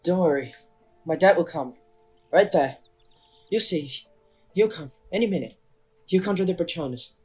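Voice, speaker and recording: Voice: medium pitch, expressive, normal speed, pauses often, a little mellow, faint music behind, natural voice. A woman playing an earnest, determined teenage boy wizard in an audio drama. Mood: urgent, confident.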